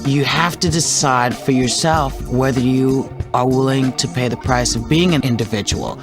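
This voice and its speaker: A man giving an earnest, plain-worded talk of advice. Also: mid-range voice. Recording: music playing behind the voice. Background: music.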